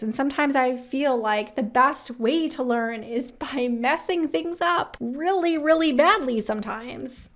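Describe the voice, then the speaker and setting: A woman hosting a career advice video, talking in a chatty, informal and emphatic way, freely giving her opinions. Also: sits high. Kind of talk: chatty direct-to-camera commentary.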